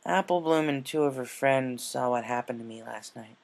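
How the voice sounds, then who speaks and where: A man's voice: medium pitch, normal speed, natural voice. Plain-spoken, troubled pegasus mare, young and stubborn, casual delivery for a story reading, acted by a man. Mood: frank. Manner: casual, frank. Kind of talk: character dialogue in fan fiction reading.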